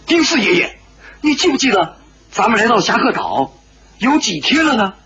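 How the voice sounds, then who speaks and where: A man voicing a naive, earnest young hero in a dramatised wuxia story, pleading in an anxious, tearful, high-strung way. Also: huge pitch swings, normal speed, sharp tone, husky, lo-fi sound, exaggerated voice.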